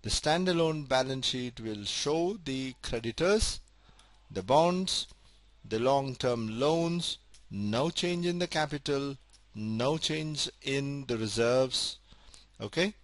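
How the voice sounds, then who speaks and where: A man giving a recorded accounting lecture, methodical and slow to stress key points, often repeating them. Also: mid-range voice, animated, no room sound.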